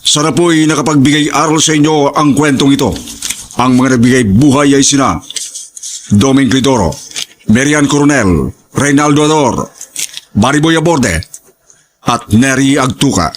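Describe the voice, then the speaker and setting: A man giving scripted narration for a story programme in a measured, formal manner. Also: mid-range voice, a little hiss or hum. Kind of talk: scripted narration with moral lesson and credits.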